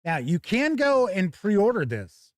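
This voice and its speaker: A man's voice: sits high, sing-song, a little slow, dry recording, studio quality. A man hosting a tabletop gaming news show, enthusiastic, folksy and conversational, moving between scripted items and off-the-cuff emphatic commentary.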